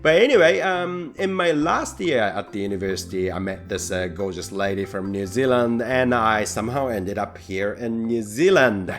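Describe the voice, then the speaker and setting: Japanese-accented man with lively, swinging pitch. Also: normal speed, hardly any pauses, soft background music. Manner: earnest, reflective, lively pitch, gentle self-deprecating humour. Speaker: podcast host and rakugo performer. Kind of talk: solo podcast monologue.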